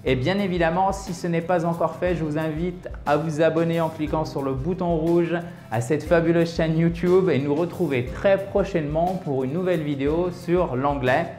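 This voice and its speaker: A French man teaching English online, his voice sitting a little higher than most men's. He talks in a chatty way, walking through examples one step at a time. He keeps checking in with quick little "ok?" asides. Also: fairly even intonation, a little mellow, echoey room, faint music behind. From France. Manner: chatty, checks in often with "ok?" and "d'accord?". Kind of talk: online language lesson.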